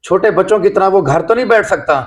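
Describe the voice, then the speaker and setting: A man playing an indulgent father in a scripted family drama, speaking earnestly and deliberately. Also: sits high, natural voice. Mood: firm, protective. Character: indulgent father of a young boy, respectful but firm son.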